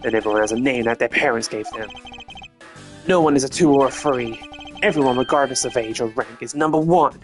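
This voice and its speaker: A man's voice: medium pitch, brisk, soft background music, lightly acted. A man voicing a brash, proud, scornful Interpol agent in a video game, with a swaggering, slangy, confrontational manner. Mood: scolding.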